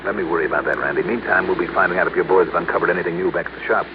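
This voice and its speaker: An actor playing a brisk, hardboiled insurance investigator in a 1950s radio detective drama, with a clipped, guarded manner. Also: male voice, deep voice, steady tone, natural voice. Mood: businesslike. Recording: muffled, noisy old-time radio recording.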